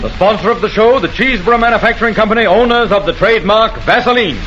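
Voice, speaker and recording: A man's voice: high voice, fast talker, very noisy. A man announcing a show in old-time radio style, on a muffled vintage broadcast.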